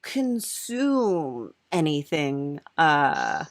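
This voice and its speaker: A woman hosting a playful, improvised comedy interview in a halting, giggly, chatty way. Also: low-pitched, sing-song, no echo. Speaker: podcast host.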